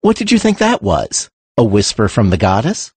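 Wry islander, hugely swooping pitch. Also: male voice, huge pitch swings, normal speed, husky, dry recording, full character voice. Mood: wry sarcasm. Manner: mild, sarcastic. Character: friendly-sounding, wryly sarcastic islander. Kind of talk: full-cast fantasy audiobook acting.

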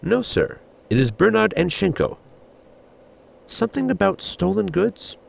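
A man playing a formal, pedantic science officer in a sci-fi comedy audio drama, with a gravelly voice and precise technical wording. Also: high-pitched, sing-song, measured pace, heavily acted. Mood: dry, formal.

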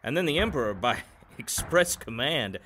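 An actor playing a respectful, plain-spoken Roman officer of the ranks in an audio drama. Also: male voice, medium pitch, sing-song, moderate pace, pushed and bright, a little hiss or hum. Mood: deferential. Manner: plain, deferential soldierly talk. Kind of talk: full-cast audio drama acting.

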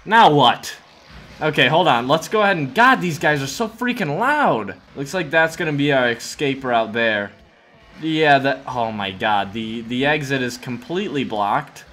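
A man's voice: medium pitch, lively intonation, a little slow, a bit bright. A man in his twenties giving casual, jokey gaming commentary as a host, narrating a roleplay adventure with mock drama. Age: 20s.

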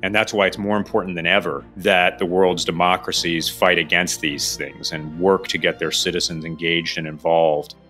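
Deep, bright-voiced man. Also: flat delivery, normal speed, hardly any pauses, faint music behind. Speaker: nonprofit director, expert guest. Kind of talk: formal interview commentary.

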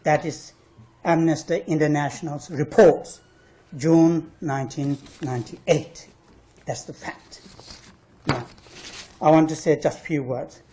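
A man who is not a native English speaker, arguing his case on a panel in an emphatic, halting way, repeating words for stress. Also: fairly high pitch, fairly even intonation, dark tone, a little hiss or hum. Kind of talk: argumentative panel talk. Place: university panel discussion room.

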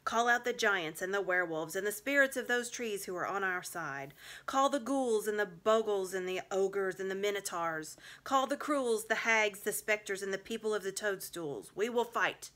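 A woman's voice: mid-range voice, expressive, moderate pace, bright, forceful tone. Woman voicing an imperious, scornful evil witch. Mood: commanding. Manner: haughty, commanding.

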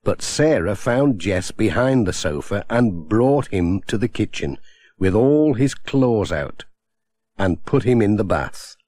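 A man narrating a children's storybook in a gentle, expressive way on a close studio mic. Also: on the low side, slow, dry recording. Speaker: audiobook narrator.

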